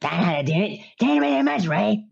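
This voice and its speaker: A whiny, bossy little cartoon boy for a comedy reading. The pitch is very high and pinched with a thick creak, and the delivery is sarcastic and exasperated, on slightly muffled audio. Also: big pitch swings, fast, heavily acted.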